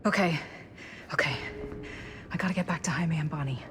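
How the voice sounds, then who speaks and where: A woman playing a brave, watchful young investigator in a video game cutscene, direct and quick to take charge. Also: low voice, noisy background, natural voice. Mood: concerned.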